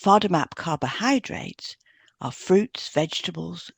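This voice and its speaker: A woman's voice: lowish voice, animated, slow pace, gravelly, husky, dry recording. Woman reading an educational podcast, dry sound. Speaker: podcast co-host and hypnotherapist. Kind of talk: scripted educational narration.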